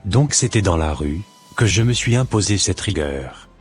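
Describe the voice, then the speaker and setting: Man voicing a low-pitched, devout, humble man. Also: lively intonation, normal speed, slightly rough, soft background music, heavily acted. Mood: earnest, reflective. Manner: pious, earnest, formal. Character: devout, humble formerly homeless man, earnest and grateful.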